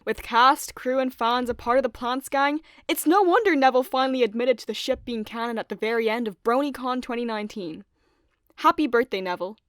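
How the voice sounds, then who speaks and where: A woman's voice: fairly high pitch, fairly quick, no room sound, clean studio sound. A woman reading scripted narration as co-host of a video essay.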